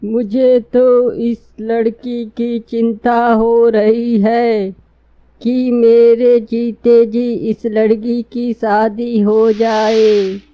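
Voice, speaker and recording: A woman's voice: fairly high pitch, flat delivery, extremely slow, dry recording, highs cut off. A woman voicing a worried, trusting old village father in a cartoon, with a plaintive, deferential manner. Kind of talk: cartoon dialogue.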